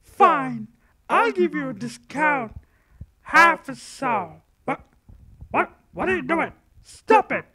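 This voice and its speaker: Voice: high-pitched, animated, slow, long pauses, bright, forceful tone, heavily acted. An actor voicing a theatrical cartoon devil who wheedles and drawls out words. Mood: exasperated. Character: devil summoned by children, wheedling, flustered when thwarted. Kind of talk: cartoon voice acting.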